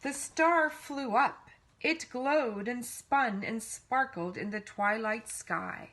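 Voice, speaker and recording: A woman reads a children's storybook aloud in an animated way, leaning on key words with dramatic emphasis. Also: a little high, takes it slowly, slight background noise. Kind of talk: expressive read-aloud storytelling.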